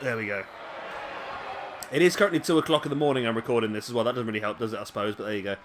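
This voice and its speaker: A man giving casual play-by-play commentary on a wrestling video game, chatty with rambling asides to viewers, his voice going a little hoarse. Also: mid-range voice, very fast, noisy background. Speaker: gaming commentator. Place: home room, late at night.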